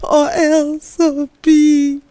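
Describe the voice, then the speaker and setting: A woman's voice: high-pitched, monotone, extremely slow, dark tone, dry recording. A Nigerian woman playing a distraught, frightened young office employee in an audio drama, crying and short of breath.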